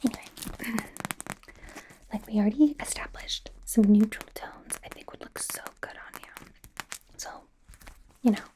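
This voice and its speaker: A woman's voice: fairly high pitch, a little slow, long pauses, dark tone, lightly acted, rough recording. A woman playing a bubbly, devoted young K-pop idol and stylist in an ASMR roleplay, whispering in a gushing, rambling, playful way. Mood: chatty, admiring. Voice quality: breathy whisper.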